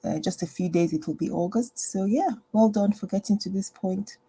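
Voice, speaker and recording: A woman doctor hosting a health live stream from home, chatting in a meandering way with lots of fillers and restarts, her voice kept low and soft. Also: moderate pace, husky. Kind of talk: casual live stream talk. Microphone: personal mic.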